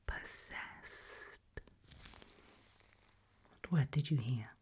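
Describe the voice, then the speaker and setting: A woman whispering a medical exam roleplay in ASMR style, breathy and hushed, slow, deliberate and gently coaxing. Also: very deep voice. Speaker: ASMR performer playing an examiner.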